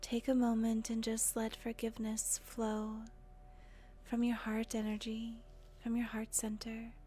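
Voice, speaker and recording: Woman, meditation guide, mellow breathy tone, slow pace, gentle delivery, long pauses. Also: on the high side, monotone, slight background noise. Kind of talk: guided meditation, soothing narration.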